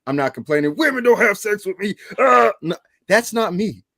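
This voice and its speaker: Animated man arguing on a podcast panel. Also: sits high, normal speed, gravelly, no echo, studio quality. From Atlanta area. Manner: animated, argumentative, laughs mid-point. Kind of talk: heated panel debate.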